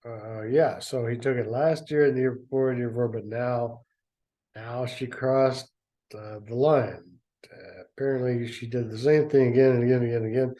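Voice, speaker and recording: Guy reading a story and snarking at it the whole way, mellow dark voice. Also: medium pitch. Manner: sarcastic, mocking asides. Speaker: reader and commentator. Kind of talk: dramatic reading with sarcastic commentary.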